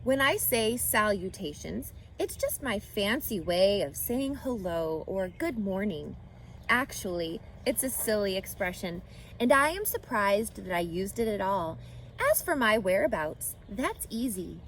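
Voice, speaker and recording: A woman's voice: medium pitch, animated, measured pace, slight background noise, poor audio. A woman voicing a refined, kindly female spider from a children's storybook, composed, articulate and self-assured. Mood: composed, friendly. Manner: composed, articulate, gently matter-of-fact. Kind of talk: children's storybook read-aloud.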